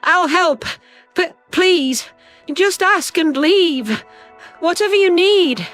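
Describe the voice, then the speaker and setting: Terrified, very high-pitched alien woman. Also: animated, slow, a bit bright, faint music behind, exaggerated voice. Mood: frightened pleading. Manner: stammering, hesitant. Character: terrified alien civilian woman, pleading and timid. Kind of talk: audio drama character acting.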